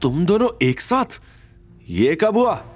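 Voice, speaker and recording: A man's voice: high-pitched, sing-song, slow pace, soft background music, voice pushed up, full character voice. A man voicing a spiteful, mocking middle-aged mother-in-law in an audio drama. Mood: mocking outrage. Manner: mocking, scornful, shouting.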